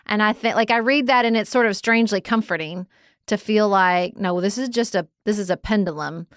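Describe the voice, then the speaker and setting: Smooth-voiced woman podcaster, animated and close-up. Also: mid-range voice, a little fast, dry recording. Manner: animated, opinionated, conversational. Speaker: podcast co-host. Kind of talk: casual book discussion.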